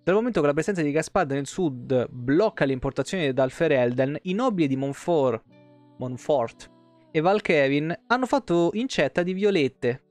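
A man's voice: a little high, darkish tone, no room sound. A man, a streamer reading game lore aloud with casual, sweary asides and the odd stumble. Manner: colloquial, profane, stumbles and self-corrects while reading. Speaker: live streamer. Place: small room at home. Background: faint background music.

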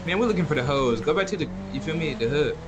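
A man's voice: on the high side, animated, fast, highs cut off, background noise. An American man bantering as a player in a roleplay game, brash, joking and full of slang and profanity.

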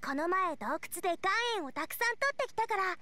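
A woman's voice: very high voice, lively intonation, brisk, dry recording, exaggerated voice. An actress playing a cheerful, eager young girl chef in an anime game, bubbly and earnest. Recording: clean studio voice over soft music.

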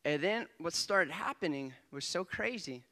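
A young man, a guest preacher, giving an excitable testimony in church on a handheld mic, often laughing and swinging between quiet asides and loud bursts. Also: fairly high pitch, moderate pace. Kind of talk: testimony sermon. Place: church stage before a congregation. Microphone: handheld microphone.

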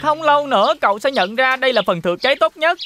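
Casting: female actor as clever cartoon cat in boots, very high voice, cocky and persuasive fairy-tale servant. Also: animated, fast, fairly bright tone, faint music behind. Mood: proud. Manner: self-assured, persuasive.

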